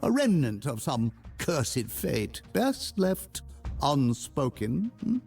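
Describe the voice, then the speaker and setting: Creaky, scheming sorcerer lord. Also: male voice, mid-range voice, sing-song, takes it slowly, a little mellow, heavily acted. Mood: sly, musing. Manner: theatrical, insinuating, savouring words. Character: sly, scheming male sorcerer lord, smooth manipulator. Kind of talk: dramatic video game voice acting. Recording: music under the voice.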